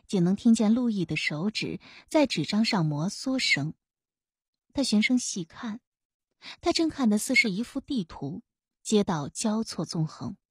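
A woman reading a historical novel as an audiobook narrator, with expressive scripted delivery. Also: mid-range voice, slightly rough, no echo, studio quality.

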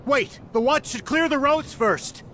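A man's voice: very high-pitched, unhurried, sharp tone, a little hiss or hum, exaggerated voice. A man playing an alarmed, angry townsman calling out in a video game.

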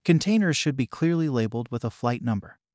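A man narrating an informational script in an even, measured reading voice, recorded close on a voice-over mic. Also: medium pitch, no echo.

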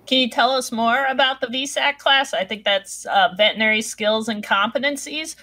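A woman's voice: a little high, normal speed, sharp tone. A woman hosting an interview, reading questions in an enthusiastic, upbeat way. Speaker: host.

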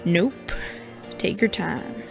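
Woman playing a low, surly sheriff. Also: extremely slow, creaky, music in the background, heavily acted. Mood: surly, curt. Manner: curt, grumbling, deadpan. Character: gruff, surly Wild West sheriff, sarcastic and guarded. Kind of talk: audio drama acting.

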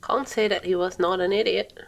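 A woman's voice: mid-range voice, fairly even intonation, fairly quick, gravelly. A young adult woman livestreaming a game, chatting casually and playfully with viewers and exclaiming at the screen. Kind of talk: casual gaming stream chat.

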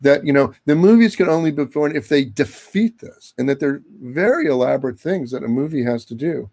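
Male academic, sixties, creaky gravelly voice, thinking aloud in a discursive way with many qualifications, recorded over a video call. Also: a little high, animated, a little fast, darkish tone. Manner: discursive, hedging with frequent asides. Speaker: academic speaker. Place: book-lined study at home, indoors. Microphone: computer mic on a video call.

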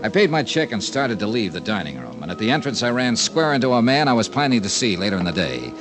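An American actor playing a hard-boiled, wry and blunt insurance investigator in a dry, clipped radio detective style. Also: male voice, on the low side, very animated, fast, music in the background. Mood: dry, matter-of-fact. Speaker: lead detective and narrator. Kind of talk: 1950s radio detective acting.

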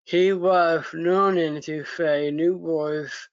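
A man reads a children's story extremely slowly and haltingly, a few words at a time. His voice is a little high for a man. The recording is a dry, muffled video call. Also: steady tone, smooth, no room sound. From United States. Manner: halting word-by-word reading with pauses and stumbles. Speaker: story reader. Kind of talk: reading a children's story aloud.

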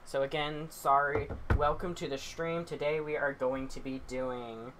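A man's voice: mid-range voice, steady tone, a little slow, noisy background. A young man co-hosting a casual livestreamed podcast, rambling and apologizing, chewing snacks as he talks. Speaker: podcast co-host.

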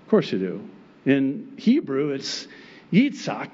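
Middle-aged pastor preaching, often chuckling. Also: male voice, medium pitch, slow, breathy edge, muffled recording. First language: Arabic. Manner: animated, chuckling often, acts out God's replies. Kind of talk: sermon. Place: church stage with wood pulpit and plants, indoors. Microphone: pulpit microphone.

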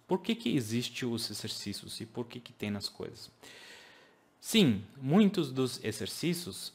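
Man, 30s, English-speaker accent, earnest teacher, home office webcam talk. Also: mid-range voice. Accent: English-speaking foreigner speaking Portuguese. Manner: earnest, explaining with hand gestures, makes grammar slips. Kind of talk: casual video lesson to camera.

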